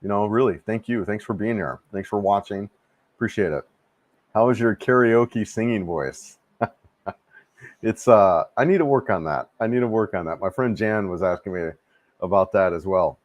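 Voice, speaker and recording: A man's voice: lowish voice, normal speed, no room sound. A man in his fifties hosting a casual live stream, rambling and restarting sentences in a laid-back, friendly way as he answers chat. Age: 50s. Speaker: livestream host answering chat. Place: home room.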